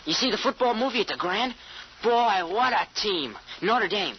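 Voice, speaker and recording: A woman's voice: medium pitch, lively intonation, normal speed, breathy edge. A woman playing a brash, slangy, teasing teenage boy in an old-time radio drama. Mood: boisterous. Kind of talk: 1940s radio acting. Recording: muffled old radio recording.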